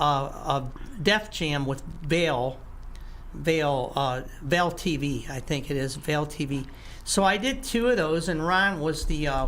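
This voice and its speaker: Older man, halting musician storyteller. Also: on the high side, fairly bright tone, a little hiss or hum. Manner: halting, many restarts and throat clearing. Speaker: guest musician. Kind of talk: casual interview talk.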